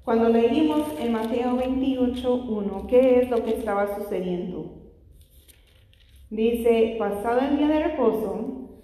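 A woman's voice: on the high side, unhurried, warm tone. Woman teaching Bible study in echoey hall. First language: not Spanish. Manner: frequent "Gloria al Señor" interjections, asks the congregation questions. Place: church hall. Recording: echoey hall with long reverb.

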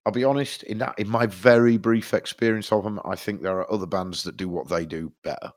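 Deep voiced guy w a stuffy nose from hay fever, rambly, swears a bit. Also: steady tone, fairly quick. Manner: rambling, restarts a lot, swears casually. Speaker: podcast co-host. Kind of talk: casual music chat.

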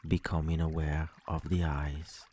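A man with a very deep, dark and relaxed voice guides a sleep meditation. He speaks slowly and soothingly, leaving long gaps between phrases. Faint noise like trickling water runs behind him.

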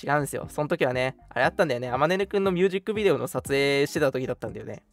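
Fast, animated young man chatting. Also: mid-range voice. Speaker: radio show host. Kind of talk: casual chat. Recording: background music under voice. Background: faint music.

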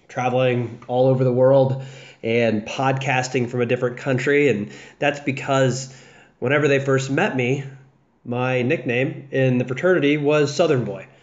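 A man's voice: mid-range voice, moderate pace. A young American man hosting a casual solo fantasy football podcast, chatting loosely with fillers and restarts. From United States, south of the Chicago area. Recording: dull lo-fi podcast audio.

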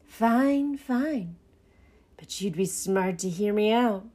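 A woman voicing a scarred dockside rat in a story, speaking in a hushed, knowing way. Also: medium pitch, animated, slow pace, slightly rough. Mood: sly, insistent. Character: scarred, shifty dockside rat with secret tips.

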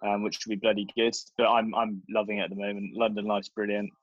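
A young man chatting casually with friends in a relaxed, jokey way. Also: lowish voice, fast, dry recording, narrow, tinny audio. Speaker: guest catching up with friends. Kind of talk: casual chat.

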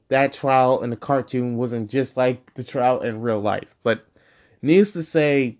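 A man's voice: medium pitch, a little mellow. Casting note: young male podcast host in his twenties, loose, meandering solo chat full of "um" and "like", mid-range voice. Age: 20s. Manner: rambling, full of fillers and self-corrections. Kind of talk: casual solo podcast monologue.

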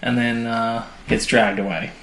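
A man's voice: medium pitch, little pitch movement, unhurried, a bit bright, slight background noise. Jokey man chatting in an echoey room. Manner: jokey, informal, acts out quotes casually. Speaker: podcast co-host. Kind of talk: casual chat, retelling TV episodes. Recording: echoey room, faint music behind.

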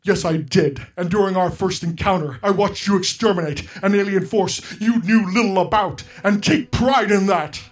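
A man's voice: high voice, moderate pace, hardly any pauses, close to an everyday voice. A man playing an earnest, guarded alien telepath superhero in a radio play, with formal, dramatic delivery. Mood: solemn. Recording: music playing under the voice.

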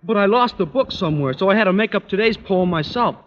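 An actor playing a naive, slangy American high-school athlete, earnest and lovesick, in an old-time radio comedy. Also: male voice, animated, quick pace. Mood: sheepish. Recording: dull old radio recording, hall reverb, hiss.

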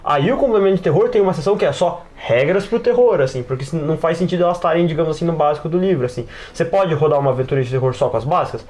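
A Brazilian man hosting a casual tabletop gaming podcast. He talks very quickly in a fairly high voice, with loose slangy phrasing and plenty of fillers. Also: a little mellow. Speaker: podcast host. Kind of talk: casual podcast chat about tabletop RPGs.

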